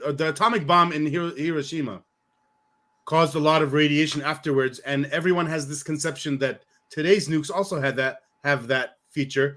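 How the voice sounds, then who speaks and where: Male podcast host, fairly high and bright voice; friendly, thinks aloud, leans on "you know". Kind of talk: casual conversation.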